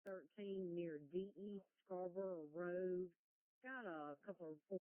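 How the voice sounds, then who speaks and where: Smooth low-voiced woman on narrow radio. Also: measured pace, dry recording. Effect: two-way radio. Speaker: emergency dispatcher. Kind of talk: routine radio dispatch. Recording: phone-like, dry scanner radio.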